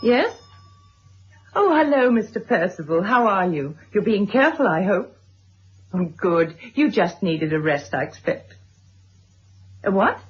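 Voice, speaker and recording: An actress playing a gentle, solicitous middle-aged mother and wife in a radio drama, speaking considerately. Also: medium pitch, big pitch swings, measured pace, pauses often, breathy edge. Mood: polite, evasive. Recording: dull old radio recording.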